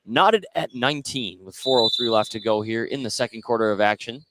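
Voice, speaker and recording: A man doing live play-by-play radio commentary of a high school basketball game. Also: fairly quick, fairly bright tone. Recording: noisy gym ambience behind the voice.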